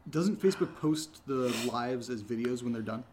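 A man's voice: medium pitch, lively intonation, normal speed, darkish tone, slight background noise, close to an everyday voice. An actor playing an alarmed, impatient young man in a naturalistic horror audio drama, questioning insistently.

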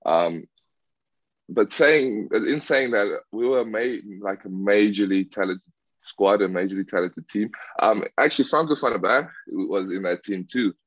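A man's voice: fairly low pitch, a little slow, no echo. A young man from South Africa, a rugby player answering interview questions casually, with many fillers and restarts. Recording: dull lo-fi dry sound.

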